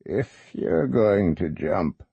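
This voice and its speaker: A man doing a slow, gravelly old leader. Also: deep voice, expressive, no echo, muffled recording, full character voice. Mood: weary. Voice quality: shaky old voice. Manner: slow, weary, then commanding. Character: shaky old man, quietly powerful leader.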